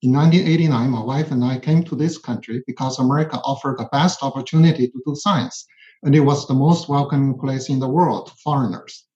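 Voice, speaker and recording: A man's voice: medium pitch, a little fast, mellow. A middle-aged or older man from China with a Chinese accent, a professor reading personal testimony in a formal, sometimes hesitant way. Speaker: physics professor, witness. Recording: muffled, echoey room sound.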